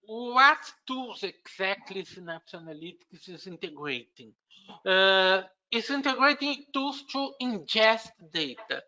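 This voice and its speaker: Slow Brazilian-accented man giving a tech talk. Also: high-pitched, bright, forceful tone, lo-fi sound. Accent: Brazilian Portuguese. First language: Portuguese. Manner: unhurried, halting explanation with frequent "uh" fillers and restarts. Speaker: conference speaker. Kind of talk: technical conference presentation.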